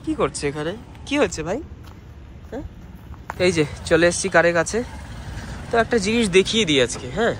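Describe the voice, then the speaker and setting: A man's voice: high-pitched, lively intonation, a little fast, long pauses, fairly bright tone. A young man with an Indian Bengali accent chats casually to viewers, explaining things and mixing in English words. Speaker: vlogger. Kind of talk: casual chatty vlog to viewers. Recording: noisy outdoor vlog with traffic hum.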